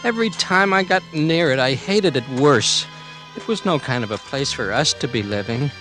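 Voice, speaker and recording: A man's voice: medium pitch, expressive, moderate pace, over music, lightly acted. An actor playing a brooding, resentful young man who narrates his own story in a 1940s radio drama. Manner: quiet, sullen first-person narration. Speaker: narrator and lead.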